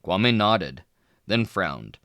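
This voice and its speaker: Male read-aloud narrator, expressive storybook delivery, bright voice, slow pace. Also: sits low, no echo, clean studio sound. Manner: lively, expressive reading with small voice shifts for characters. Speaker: children's book reader.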